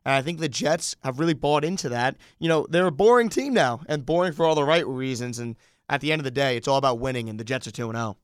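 Smooth, dry-sounding American sports podcast host. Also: male voice, medium pitch, quick pace, dry recording. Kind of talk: sports talk commentary.

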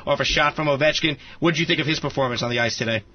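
A man's voice: on the high side, very fast, lo-fi sound. A man hosting a sports show, putting interview questions to a guest.

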